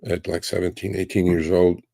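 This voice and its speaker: A man in his fifties from Turkey, hosting a livestream Q&A and telling stories in a relaxed, rambling way with many fillers. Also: low voice, a little slow, vocal fry, dry recording, studio quality. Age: 50s. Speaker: livestream host. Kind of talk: casual livestream Q&A.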